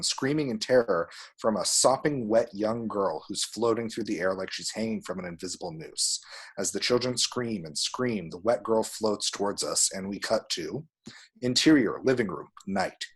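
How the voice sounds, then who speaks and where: A man's voice: lowish voice, moderate pace, breathy edge. A man reading screenplay action descriptions as scripted narration at a table read.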